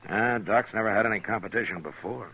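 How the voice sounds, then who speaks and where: Deep-voiced, laconic frontier marshal, noisy recording. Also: male voice, pushed and bright, dull audio. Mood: calm, measured. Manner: measured, laconic. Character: calm, steady frontier town marshal. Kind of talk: 1950s radio western acting. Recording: noisy old 1950s radio recording.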